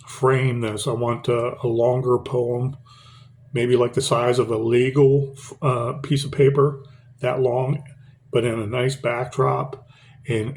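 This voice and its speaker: A middle-aged man with a gravelly, rough-edged voice at an ordinary pitch. He reads his own poem, then talks on in a loose, rambling way, stopping and restarting with many fillers. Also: darkish tone. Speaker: poet reading his own work. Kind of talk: poetry reading and casual talk to camera.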